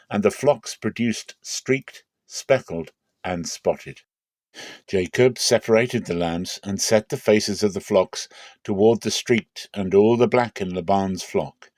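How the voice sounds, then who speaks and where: A man with a lowish voice reads scripture aloud as a dramatic narration. His delivery is measured and solemn, with clear emphasis on key phrases. The sound is very dry, with no room around it. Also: a little mellow, dry recording. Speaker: Bible reader.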